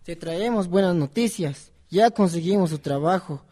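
A man's voice: high-pitched, lively intonation, normal speed, warm tone. A man with a Guatemalan accent acting an eager, friendly working man in an amateur radio drama. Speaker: announcer and actor in radio drama. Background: music.

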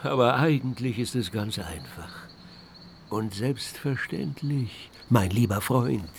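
Old eagle owl, gravelly, slow, formal, dryly kind, hooting, radio play. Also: male voice, mid-range voice, expressive, a little hiss or hum. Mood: explaining kindly. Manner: formal, drawn-out, hooting interjections. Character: dignified old male eagle owl, dry, kindly friend.

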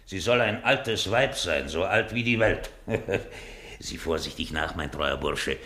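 A man's voice: low-pitched, expressive, measured pace, gravelly, pushed and bright, heavily acted. An actor playing a gloating, miserly Venetian schemer in a German radio drama, speaking theatrically and savouring his words. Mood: gleefully alert. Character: gloating, miserly rich Venetian schemer who feigns dying.

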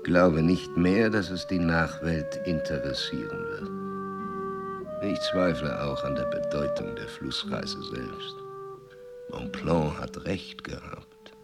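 Very slow, deep aged scholar. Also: male voice, wildly swooping pitch, creaky, full character voice. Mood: weary, resigned. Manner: oratorical, deliberate, with weighty pauses. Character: aged male explorer and scholar, solitary, disillusioned, reflective. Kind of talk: German radio play acting. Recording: long hall reverb, music behind.

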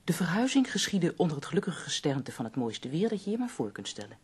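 An actress playing a polite woman who takes down a letter in a radio play, with a gentle, very husky and breathy voice. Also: low-pitched, sing-song, quick pace, heavily acted. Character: polite woman visitor taking a letter by dictation.

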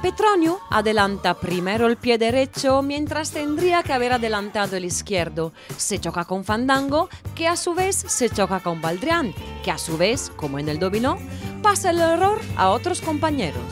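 A woman playing a wry, excitable narrator in an audio drama, telling the tale like a running commentary. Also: on the high side, normal speed. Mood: excited commentary. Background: music.

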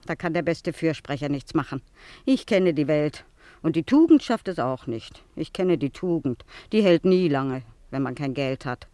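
A donkey driver's wife in a radio play, bossy and scheming. Her pitch swings widely as she pushes her point with impatient, worldly-wise certainty, in a close, dry recording. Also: animated, moderate pace, mellow, no room sound. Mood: scheming, insistent. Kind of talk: German radio play acting, dialogue with asides.

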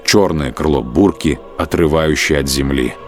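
A man giving a measured, dramatic literary narration of a war story for an audio drama. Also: very deep voice, faint music behind.

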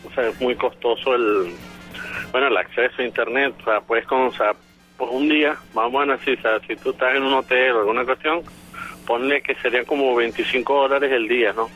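A man's voice: mid-range voice, a bit bright, husky. A man calling in by mobile phone, rambling with lots of fillers. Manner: rambling, many fillers like "bueno" and "o sea". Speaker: phone-in guest. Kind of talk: casual chat by phone. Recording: dull phone line.

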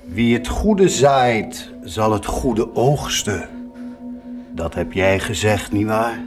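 An actor playing a bitter, mocking fallen priest tormented by a devil, in a theatrical, accusing radio drama style. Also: male voice, on the low side, lively intonation, music in the background. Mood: ominous. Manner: slow, accusing, sardonic, solemn declaiming.

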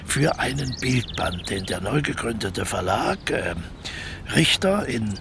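Creaky-voiced, scheming crook. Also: male voice, medium pitch, sing-song, a little slow, background noise. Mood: sly, confident. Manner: persuasive, cocky, explains patiently. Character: scheming, smooth-talking male crook planning a theft. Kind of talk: naturalistic German radio play dialogue.

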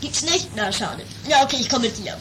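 A woman's voice: a little high, big pitch swings, fairly quick, background noise. A woman playing a cheeky, naive male character in an improvised comic audio drama, offhand and a little hesitant. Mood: matter-of-fact.

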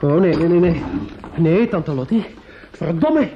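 A man acting a bitter, menacing nephew in a radio play, halting and repetitive, sneering and insistent. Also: on the high side, animated, dark tone, a little hiss or hum. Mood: agitated, forceful. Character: bitter, menacing, grief-stricken nephew taunting his aunt. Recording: muffled, dull old radio recording.

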